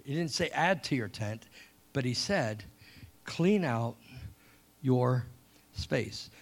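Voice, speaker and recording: A middle-aged man from England, preaching a sermon with animated storytelling, repeated points and slow, drawn-out scripture reading. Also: medium pitch.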